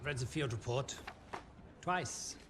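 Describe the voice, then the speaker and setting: A man's voice: medium pitch, slow pace, background noise, lightly acted. A man playing a sly, obedient Nazi officer, a subordinate villain in a cinematic war game. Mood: intrigued, obedient.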